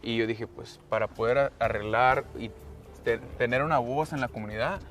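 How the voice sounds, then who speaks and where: Mid-pitched man, a boxer interviewed. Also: moderate pace, fairly bright tone, faint music behind. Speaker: professional boxer being interviewed. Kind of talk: casual interview answers.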